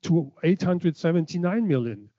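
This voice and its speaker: A man's voice: a little high, animated, moderate pace, dark tone, no room sound, highs cut off. A man from Germany, giving a conference lecture from slides, hesitant, with many fillers and restarts. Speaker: keynote speaker.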